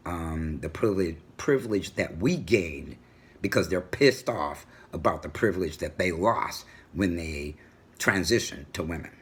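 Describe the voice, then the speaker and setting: Middle-aged guy vlogging from home, deep husky booming voice, feisty and assertive. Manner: assertive, animated storytelling. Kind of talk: personal vlog monologue. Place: home room with a world map on the wall.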